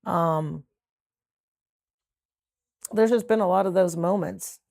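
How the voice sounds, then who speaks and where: A woman's voice: fairly low pitch, takes it slowly, creaky, darkish tone, dry recording. A middle-aged woman from Trinidad and Tobago, a sanctuary founder speaking earnestly and reflectively in a documentary interview. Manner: earnest, reflective, with frequent fillers.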